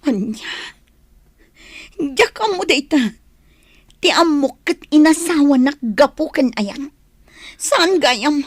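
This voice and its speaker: Actress as wronged young wife in a radio play: high, plaintive, questioning, close dry sound. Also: female voice, animated, slow pace, pauses often, no room sound. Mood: hurt, protesting. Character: young wife, hurt and protesting against her controlling husband. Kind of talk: Ilocano comedy-drama radio acting.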